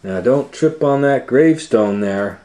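A young cartoon slacker, played by a man reading a storybook, laid-back yet easily scared. He talks in slangy, hesitant phrases at a raised pitch. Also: animated, a little slow, dark tone, lightly acted. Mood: breezy. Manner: casual slangy hesitant talk.

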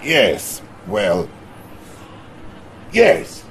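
An actor with a Russian accent playing a pompous, jovial middle-aged Soviet premier in a video game cutscene. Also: male voice, fairly low pitch, huge pitch swings, drawn-out words, a little hiss or hum, full character voice. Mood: blustering. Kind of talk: live-action video game cutscene acting.

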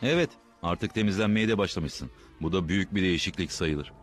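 Guy as a cartoon hero prince, lowish voice, sure of himself, music behind. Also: brisk, close to an everyday voice. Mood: friendly. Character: heroic young prince, friendly and confident. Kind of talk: dubbed cartoon hero narration and dialogue.

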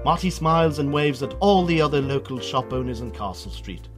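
A man's voice: medium pitch, expressive, brisk, music in the background, lightly acted. A man narrating a scripted radio drama in a theatrical storytelling manner.

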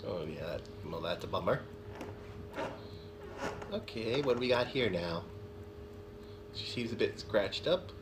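Animated young man reacting casually. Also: fairly low pitch, unhurried, long pauses, noisy background. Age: 20s. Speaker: reaction video host. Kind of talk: casual off-the-cuff reaction commentary. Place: living room couch indoors.

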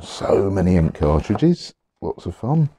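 Deep-voiced man, rambling pen chat. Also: big pitch swings, measured pace, mellow, slightly rough, no echo. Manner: rambling, chatty, with many ums and restarts. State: slightly hoarse. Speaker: pen collector vlogger. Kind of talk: casual unboxing chat. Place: indoors at a desk, overhead camera on hands.

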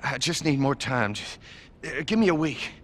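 A man's voice: medium pitch, expressive, moderate pace, fairly bright tone, a little hiss or hum, natural voice. An actor playing a desperate, pleading American arms dealer in a video game, stammering and evasive as he is interrogated. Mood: nervous pleading. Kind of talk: dramatic video game acting.

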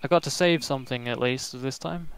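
A man's voice: mid-range voice, brisk, gravelly, clear, resonant tone. A man chatting casually and rambling a bit while streaming a game with a friend. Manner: relaxed, rambling with fillers. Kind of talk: casual gaming chat.

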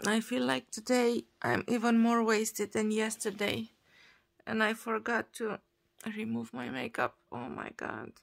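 A woman in her thirties with a Romanian accent, vlogging casually at home into a phone held at arm's length, talking in a slow, relaxed and sleepy way. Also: fairly high pitch, creaky. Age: 30s. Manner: slow, relaxed and sleepy, with frequent laughs and restarts. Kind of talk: casual selfie vlog. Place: bedroom and bathroom at home, indoors.

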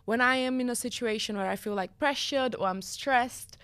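A woman's voice: fairly high pitch, moderate pace, fairly bright tone, clean tone, no room sound. A young woman from Brazil with a Brazilian Portuguese accent, speaking candidly as a podcast guest and using many fillers. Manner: frequent "like" fillers, candid and reflective. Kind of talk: casual podcast interview. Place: small studio with sofa and purple lighting. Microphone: studio boom mic close up.

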